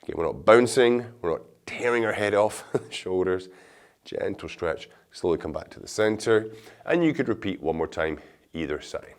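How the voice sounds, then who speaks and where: Deep-voiced, slightly nasal man instructing. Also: lively intonation, normal speed. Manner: casual, reassuring, step-by-step explaining. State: blocked nose from a cold. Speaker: exercise instructor. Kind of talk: instructional video demonstration.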